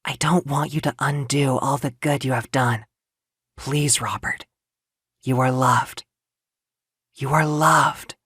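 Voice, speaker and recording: A man voicing a gentle, mysterious young woman who pleads softly and earnestly in an audio comic drama. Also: mid-range voice, fairly even intonation, slow pace, pauses often, slightly rough, dry recording, clean studio sound. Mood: pleading, tender.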